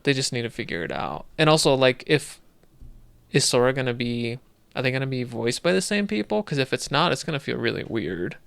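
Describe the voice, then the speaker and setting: A man's voice: mid-range voice, sing-song, a little fast, gravelly, fairly bright tone, smooth, no echo. A man co-hosting a podcast in casual chat, thinking aloud as he talks. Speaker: podcast co-host.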